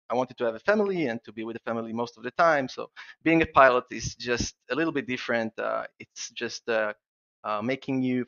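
A man's voice: mid-range voice, gravelly, fairly bright tone, dull audio. A man from Israel with an Israeli accent, a guest on a podcast interview, talking eagerly with many restarts and fillers. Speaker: guest plugin developer.

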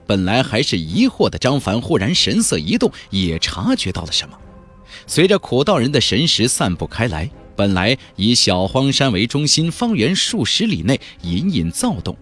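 Animated, husky male audiobook narrator. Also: lowish voice. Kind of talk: dramatic scripted narration of a fantasy novel.

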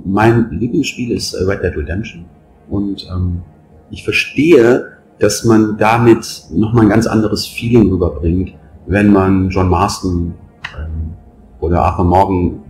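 A man answering a survey question through a studio mic, thoughtful and pausing on fillers. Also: sits low, darkish tone, soft background music, rough recording. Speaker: interviewee. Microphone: headphones and studio mic.

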